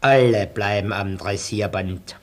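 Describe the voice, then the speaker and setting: An actor playing a stern, suspicious soldier in a German radio play, terse and gruff. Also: male voice, fairly low pitch, measured pace, roomy sound, natural voice.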